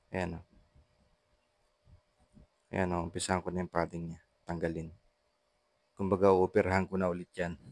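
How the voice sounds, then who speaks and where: A Filipino man narrating a casual how-to vlog in a halting, repetitive way, with short trailing phrases. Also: deep voice, no room sound. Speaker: vlogger, intercom installer.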